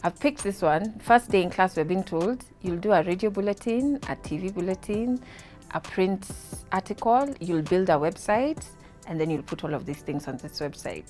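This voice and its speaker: A woman in her thirties with a Kenyan accent, telling her story as an interview guest, animated and laughing, slipping into Swahili words. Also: fairly low pitch, normal speed, soft background music.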